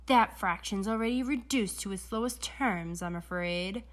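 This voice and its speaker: A woman's voice: mid-range voice, normal speed, natural voice. Woman voicing a sly, falsely polite storybook villain doctor. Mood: smug. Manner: purring, hesitant politeness. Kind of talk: children's storybook read-aloud.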